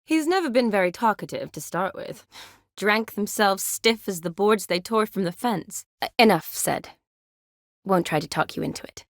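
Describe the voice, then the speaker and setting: Game role: kind young female medic played by a woman, teasing yet wistful, medium pitch, expressive conversational delivery, dry studio sound. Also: no echo, close to an everyday voice. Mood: matter-of-fact. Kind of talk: video game dialogue acting.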